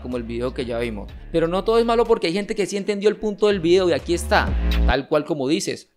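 A young man in his twenties, an olympic wrestler hosting his channel, reacting to viewer comments with emphatic, gesturing argument from a home setup on a boom mic. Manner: emphatic, gestures a lot while arguing. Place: home streaming room with gaming chair. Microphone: studio mic on boom arm, headset.

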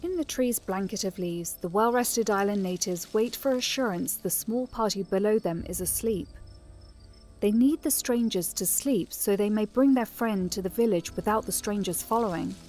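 A woman narrating a fantasy audio drama as a scripted story, in a measured, descriptive manner. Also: medium pitch, a little mellow, soft background music, lightly acted. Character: female narrator of a fantasy adventure.

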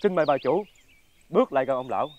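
A man's voice: mid-range voice, expressive, fast, lo-fi sound, heavy background noise, natural voice. A man playing a shrewd, authoritative helper in a folk-tale drama, speaking in a commanding and persuasive way. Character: shrewd, authoritative male helper addressing an old beggar. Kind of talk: theatrical folk-tale TV acting.

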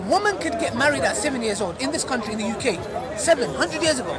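High-voiced preacher debating outdoors. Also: male voice, animated, fast talker. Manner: persuasive, ends points with "yeah?" tag questions. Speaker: street preacher. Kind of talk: open-air debate with onlookers. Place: outdoor public square with a crowd. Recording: loud crowd noise outdoors. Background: loud crowd chatter.

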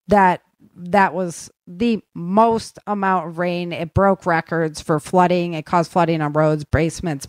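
A woman's voice: fairly low pitch, clear, resonant tone, no echo. An American woman hosting an informal podcast news roundup, chatty and speaking straight to her listeners with opinionated asides. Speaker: podcast host reading news.

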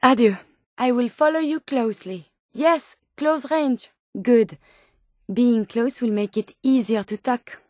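A woman's voice: a little high, unhurried, a little mellow, dry recording, clean studio sound, natural voice. An actress voicing a polite, curious female robot scientist from a video game, with a French accent and formal, precise, earnest phrasing. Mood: willing, polite. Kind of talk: video game companion dialogue.

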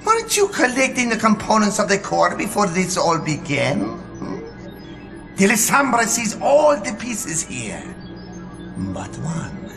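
Cryptic seer Delisambra. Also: male voice, high voice, huge pitch swings, measured pace, over music, exaggerated voice. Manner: cryptic, speaks of itself in third person. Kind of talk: video game cutscene acting.

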